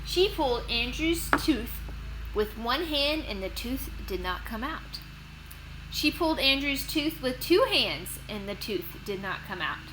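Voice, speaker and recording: A woman narrating for children as an expressive read-aloud storyteller and host. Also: fairly high pitch, measured pace, background noise. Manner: expressive, clearly addressed to children.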